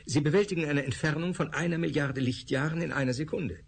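A man's voice: a little high, quick pace, vocal fry, a little hiss or hum. An actor playing a male scientist who lectures with hesitant fillers in a radio drama. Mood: earnest lecturing. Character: male scientist explaining thought particles.

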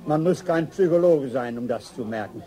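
A man's voice: a little high, animated, moderate pace, mellow, close to an everyday voice. An actor playing a dry, amused middle-aged father in a radio drama, given to casual philosophizing. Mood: amused, resigned.

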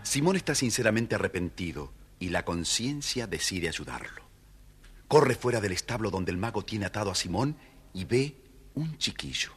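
Deep, husky male storyteller. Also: moderate pace. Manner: expressive storytelling. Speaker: storybook narrator. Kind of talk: dramatic scripted children's story narration.

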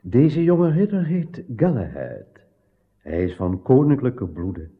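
An actor playing a dignified ancient priest in a radio drama, solemn and declamatory. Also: male voice, medium pitch, sing-song, slow pace. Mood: solemn, proud. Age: older. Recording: muffled, narrow-band radio recording.

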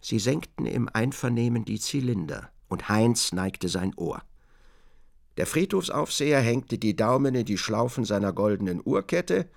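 A man's voice: medium pitch, animated, moderate pace, vocal fry. A man reading scripted literary narration for a radio drama.

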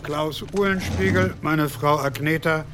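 An actor playing a humble, curious village miller in an audio drama, deferential and full of eager questions. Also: male voice, fairly high pitch, unhurried, noisy background, close to an everyday voice.

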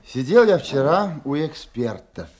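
An actor playing an authoritative older police colonel in a radio drama, explaining firmly and with a slightly wry edge. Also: male voice, fairly high pitch, big pitch swings, a little slow, a little mellow. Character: authoritative veteran male police colonel, exacting boss. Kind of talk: Russian radio drama acting.

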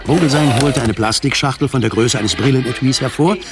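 A man's voice: mid-range voice, fairly quick. A man reading scripted dramatic narration for a science fiction radio drama. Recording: music under the voice. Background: music.

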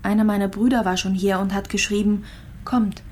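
An actress playing a gravely ill, resigned hospital patient in a radio drama, speaking reflectively and haltingly, sometimes trailing into near-whispers. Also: female voice, medium pitch, fairly even intonation, darkish tone, natural voice. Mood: wistful.

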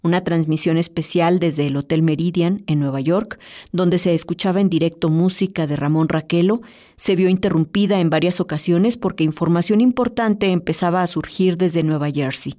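A woman's voice: fairly low pitch, normal speed, warm tone. A woman narrating a scripted personal essay as a radio presenter. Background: music.

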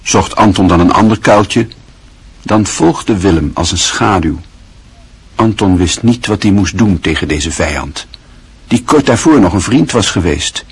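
A man narrating a literary radio drama in a measured, even storytelling manner. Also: low voice, slightly rough.

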